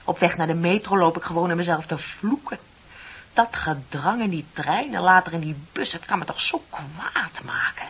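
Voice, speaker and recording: An actress playing a bitter, lonely middle-aged office worker in a radio play, confiding and sardonic with dramatic emphasis. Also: female voice, lowish voice, lively intonation, normal speed, a bit bright, slightly rough, exaggerated voice. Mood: exasperated, fed up. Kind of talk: Dutch radio play acting. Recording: dull, hissy old radio recording.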